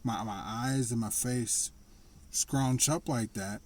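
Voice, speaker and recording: A man talking casually to the camera in a slightly raspy voice, rambling and restarting his sentences. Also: medium pitch. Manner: rambling, halting, with frequent restarts and laughs. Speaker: vlogger. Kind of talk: casual personal monologue.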